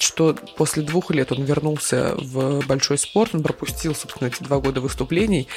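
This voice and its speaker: A man co-hosting a news podcast, recounting sports results in an easygoing way. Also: on the high side.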